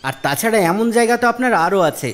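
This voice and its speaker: A man's voice: sits high, lively intonation, quick pace, a bit bright. A man playing an earnest, reasonable villager in a moral cartoon, speaking politely and persuasively. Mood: earnest, pleading. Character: earnest, reasonable male villager pleading for neighbours. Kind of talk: Islamic moral cartoon dialogue.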